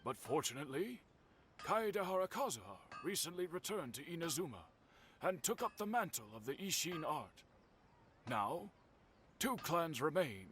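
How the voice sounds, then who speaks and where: Veteran game blacksmith: slightly high male voice, slow formal delivery, grave storyteller, faint noise behind. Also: a bit bright. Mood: reflective. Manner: measured, formal, solemn. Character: older male master swordsmith, courteous, grave storyteller. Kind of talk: video game character acting.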